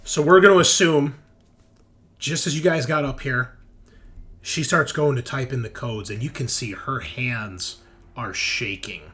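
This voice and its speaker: A man's voice: mid-range voice, a little slow, sharp tone. A man narrating a tabletop roleplaying game as game master, conversationally, often checking in with his players. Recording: noisy background ambience.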